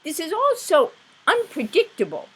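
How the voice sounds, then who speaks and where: A woman's voice: very high-pitched, wildly swooping pitch, normal speed, a bit bright, pitched above the performer's own voice, full character voice, poor audio. A woman voicing a mild, cautious, easily astonished husband from a children's storybook, speaking earnestly. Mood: flustered.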